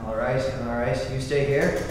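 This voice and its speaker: An earnest, emphatic male actor as a newspaper reporter in a vintage radio play, mid-pitched and pressing. The sound is very echoey, from a hall with faint noise. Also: fairly even intonation, normal speed, natural voice. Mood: insistent. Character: urgent, earnest newspaper reporter, secretly a superhero. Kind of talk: old-time radio play acting on stage.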